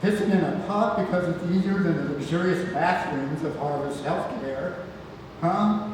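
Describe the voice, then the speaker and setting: A man's voice: fairly high pitch, moderate pace, lightly acted. A man playing a cranky, bedridden old great-grandfather in a play read-through, grumbling and rambling. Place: reverberant theatre room, far from mic. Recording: echoey hall, distant mic, heavy noise.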